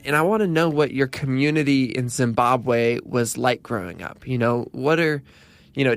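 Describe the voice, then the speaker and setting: Male podcast host, mid-pitched, earnest and effusive, music underneath. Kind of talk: podcast intro and interview questions.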